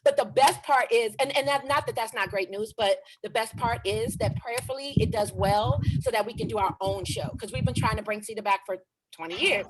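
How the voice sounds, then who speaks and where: A woman voice actor chats on a video call from home, sounding animated and often laughing. Her voice sits a little high. She talks casually and loosely. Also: fairly quick, background noise. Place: home in Los Angeles.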